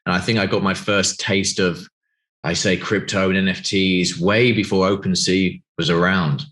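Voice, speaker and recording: A man's voice: low voice, steady tone, moderate pace, a bit bright. A British man in his forties from the UK, answering interview questions in a loose, rambling way with many fillers and restarts over a video call. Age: 40s. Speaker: game studio CEO.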